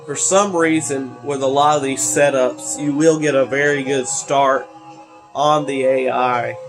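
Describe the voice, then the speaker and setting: A man's voice: mid-range voice, fairly even intonation, a little slow, over music. A teenage man, congested from allergies, rambling with many fillers while commenting on a racing game. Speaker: gaming video creator.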